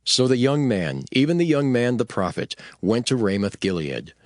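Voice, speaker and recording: A man narrating scripture for a dramatized audio production in a measured, declamatory manner. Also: mid-range voice, big pitch swings.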